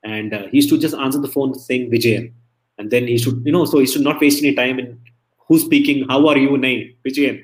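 Fast-talking man telling stories. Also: mid-range voice, steady tone, rough recording. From India. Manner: chatty, storytelling, many restarts. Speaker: interview guest, emcee and entertainer. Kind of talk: casual interview talk.

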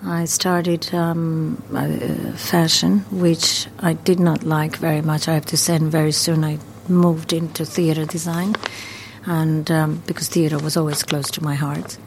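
A woman's voice: deep voice, little pitch movement, unhurried, vocal fry, a little hiss or hum. A middle-aged woman from Croatia, a theater founder chatting hesitantly while giving a tour. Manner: chatty, hesitant with many ums. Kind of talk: guided tour interview.

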